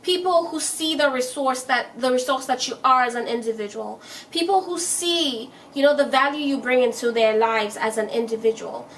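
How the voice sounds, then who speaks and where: A young woman with a high voice, chatting emphatically straight to her audience. She repeats her points and laughs easily. She sits a little far from the mic, and a faint hiss runs underneath. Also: moderate pace. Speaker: self-help vlogger. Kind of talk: casual advice monologue.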